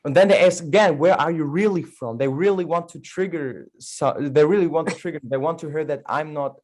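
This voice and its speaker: A man's voice: a little high, fairly quick, darkish tone. A man from Berlin, an actor speaking in a panel conversation, fluent, earnest and emphatic. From Berlin, Germany.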